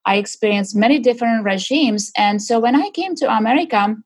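A woman's voice: fairly high pitch, animated, fairly quick. A middle-aged woman from Czechoslovakia, answering interview questions in an earnest, searching way with many fillers and restarts. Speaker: guest.

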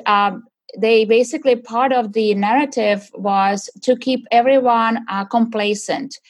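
A middle-aged woman from Czechoslovakia, answering interview questions in an earnest, searching way with many fillers and restarts. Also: a little high. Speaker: guest.

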